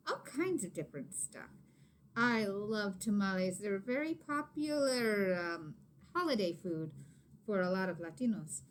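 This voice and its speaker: Animated, higher-voiced woman reading to children. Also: a little hiss or hum. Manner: animated, pauses to question and explain to young listeners. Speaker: read-aloud storyteller, teacher. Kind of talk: expressive children's picture book read-aloud with asides to listeners.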